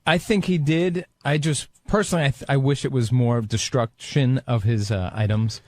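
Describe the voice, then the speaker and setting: A man's voice: mid-range voice, steady tone, normal speed, dry recording. A man giving casual radio commentary as a show staff commentator.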